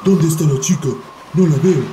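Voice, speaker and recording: A man voicing a gruff, greedy giant monster, blunt and demanding, in a children's cartoon. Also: a little high, moderate pace, background noise, natural voice. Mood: impatient. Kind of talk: dubbed children's cartoon dialogue. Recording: echoey voice over clear music.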